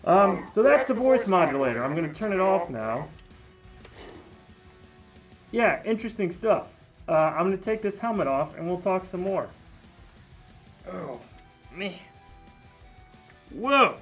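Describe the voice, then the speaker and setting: A man's voice: high voice, expressive, takes it slowly, long pauses, fairly bright tone, faint music behind. A man giving a casual, candid product review in a mildly grumbling manner. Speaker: toy reviewer. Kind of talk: casual unboxing and review talk.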